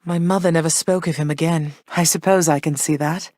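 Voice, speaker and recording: A woman voicing a gentle, thoughtful goddess queen and mother for a video game, speaking in a soft, measured, slightly formal way. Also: sits low, fairly even intonation, no room sound. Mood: tender. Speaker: voice actress for a video game character. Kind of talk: recorded game voice lines.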